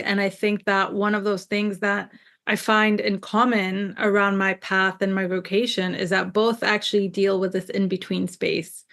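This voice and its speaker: A woman's voice: medium pitch, fairly bright tone. A woman from Jerusalem who moved to the US at ten, a native Hebrew speaker, talking reflectively and explaining her ideas as an interview guest. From born in Jerusalem, moved to the US at ten. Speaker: podcast guest, scholar and artist.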